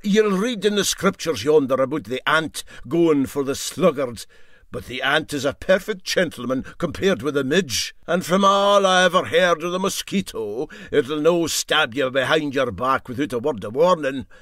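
A man voicing an indignant old Highland boat skipper telling tall tales emphatically and drolly in a Scottish accent. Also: lively intonation, moderate pace, a bit bright, dry recording, studio quality. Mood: acid.

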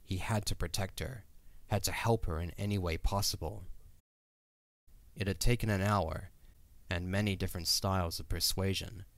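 A man's voice: low-pitched, fairly even intonation, moderate pace, dry recording. A man reading scripted story narration for an audio drama.

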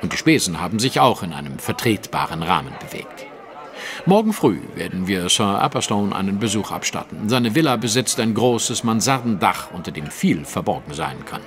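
An actor playing a shrewd, commanding detective in an audio drama, formal, precise and drily superior. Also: male voice, fairly low pitch, very animated, normal speed, a bit bright. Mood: brisk, superior. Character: shrewd, coolly polite, commanding male detective.

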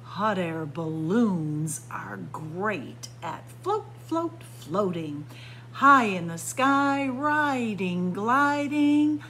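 A woman storyteller reading a picture book aloud to young children in an expressive sing-song way, drawing out and stressing words. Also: mid-range voice, extremely slow, slight background noise. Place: library room with bookshelves, indoors.

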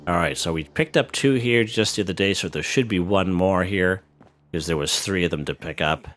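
A man hosting a casual gameplay tutorial, relaxed and step by step, who is losing his voice. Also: deep voice, moderate pace. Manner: relaxed, walks viewers through step by step. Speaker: gaming channel host.

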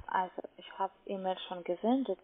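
A woman speaking as a non-native, working as a call centre agent, giving step-by-step instructions in halting phrases over the telephone. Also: medium pitch. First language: not German. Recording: muffled narrowband phone line.

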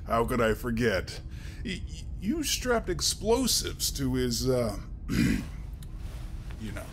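A man's voice: medium pitch, huge pitch swings, slow, vocal fry, slight background noise, exaggerated voice. A man playing a wry, easygoing rebel colonel in an audio drama, speaking casually with some hesitation. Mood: polite, awkward.

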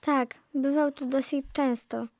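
A woman's voice: high voice, steady tone, a little slow, a little mellow, no echo. A young woman giving scripted, explanatory answers in an audio play. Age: young adult.

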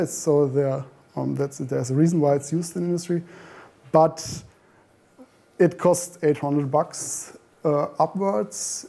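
A man's voice: on the high side, dark tone. A man in his thirties giving an informal meetup talk in a meeting room, full of ums and restarts, recorded by a camera at the back. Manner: informal, frequent ums and self-corrections. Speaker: meetup speaker. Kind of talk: conference talk. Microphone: far camera.

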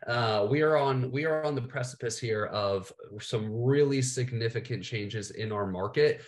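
A man's voice: mid-range voice, moderate pace. A man in his thirties with a cold, presenting slides in a casual way, saying "all right" and "uh" a lot. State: has a cold, slightly nasal, coughing. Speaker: business coach presenting to coaches. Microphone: webcam, screen-share video call.

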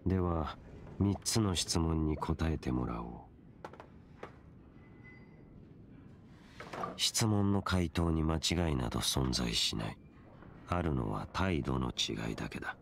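Casting: a cold, solemn young wanderer for a fantasy game, bottom-register voice, unhurried and formal. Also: male voice, very deep voice, expressive, creaky, slight background noise, full character voice. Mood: cool, measured. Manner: measured, stern, detached. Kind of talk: Japanese game voice acting.

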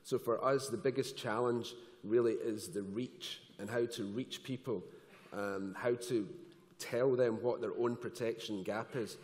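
A man, speaking as an executive on a conference panel in a relaxed, joking way. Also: medium pitch, measured pace. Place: large conference hall stage. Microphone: headset mic. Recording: long hall reverb.

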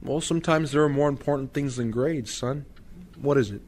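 A man's voice: mid-range voice, brisk, a little mellow, lightly acted. Man acting as patient father, noisy background. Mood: gentle, earnest. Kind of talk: audio drama acting.

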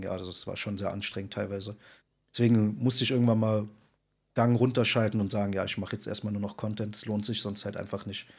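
A man in his thirties, a podcast guest, talking in an informal, slangy, emphatic way with a husky voice. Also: lowish voice. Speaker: podcast guest, content creator and streamer. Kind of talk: casual interview chat. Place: indoor room, video call.

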